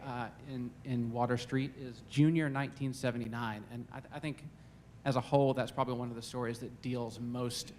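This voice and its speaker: A man's voice: mid-range voice, a little hiss or hum. A man, a college dean, moderating a panel discussion, hesitant, with many "uh"s and repeated words. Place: campus event room.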